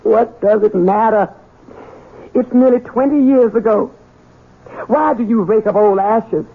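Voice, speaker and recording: An actress playing a deferential, nervous middle-aged housekeeper who speaks in a folksy dialect, in a radio drama. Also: female voice, fairly high pitch, unhurried, vocal fry, husky, heavily acted. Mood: frightened. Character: loyal older housekeeper, fearful and protective mother. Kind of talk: 1970s radio drama acting. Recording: tinny lo-fi radio broadcast.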